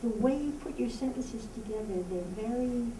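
A woman in the audience of a book discussion, speaking thoughtfully and slowing down to choose her words. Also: on the high side, dark tone. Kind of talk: casual discussion. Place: community meeting room. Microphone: far room camera. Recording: echoey room recorded from far off, faint hiss.

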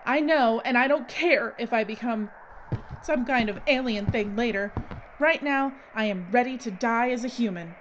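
Radio drama: actress as resigned, defiant woman filmmaker, high voice, earnest, slightly noisy muffled track. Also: moderate pace, fairly bright tone, close to an everyday voice. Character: Lorelei, resigned but defiant woman filmmaker facing death. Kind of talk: radio horror drama acting.

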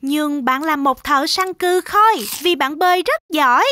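A woman's voice: very high-pitched, lively intonation, takes it slowly, very noisy. A woman narrating a children's animation in an exclamatory storytelling style, talking directly to young viewers. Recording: music behind the voice. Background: children's music.

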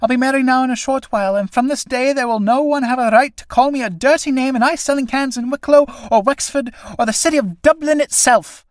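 A woman reading a play aloud and voicing a quick-tempered young tinker woman who speaks loudly and commandingly. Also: sits high, dry recording, studio quality, pitched above the performer's own voice, lightly acted. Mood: proud. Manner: forceful, angry, commanding.

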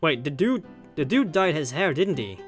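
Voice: medium pitch, sing-song, normal speed. An informal let's player commentates on a visual novel with music playing behind. The talk rambles along and repeats phrases for emphasis, slipping between commentary and read dialogue. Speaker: gameplay commentator reading a visual novel aloud.

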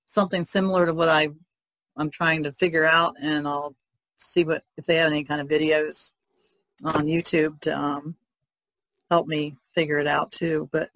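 A woman's voice: deep voice, no room sound, poor audio. A woman speaking as a podcast co-host in a casual, conversational way.

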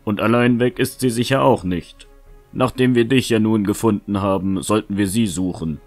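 A man playing a sober, commanding young hero in a fan-made fantasy audio drama, measured and matter-of-fact. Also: on the low side, a little mellow, soft background music, close to an everyday voice. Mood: serious, directing.

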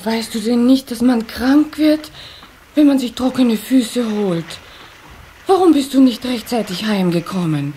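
A woman's voice: a little high, a little slow, dark tone, lightly acted. A woman playing a fussy, reproachful water-sprite mother in a children's radio play. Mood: scolding. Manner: reproachful, bossy, fretful. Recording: cassette recording with faint hiss.

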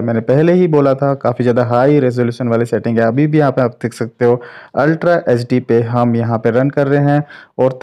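A man's voice: mid-range voice, warm tone. A man giving casual, explanatory commentary as a tech reviewer, addressing viewers like friends. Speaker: tech reviewer, channel host. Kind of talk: casual phone gaming test commentary.

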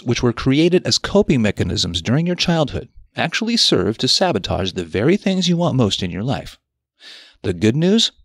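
A man narrates a self-help audio article in a very lively, varied intonation at a medium pitch. He phrases carefully and stresses key points like an instructor. The recording is dry and close, as in a studio. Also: dry recording.

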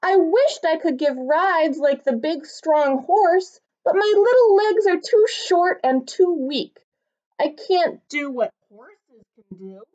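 A woman's voice: very high-pitched, expressive, slow, darkish tone, voice pushed up, exaggerated voice. A woman voicing a tiny three-pound male dog who sadly wishes he could do what bigger animals do, in a plaintive sing-song storybook way. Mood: wistful. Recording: dull lo-fi recording.

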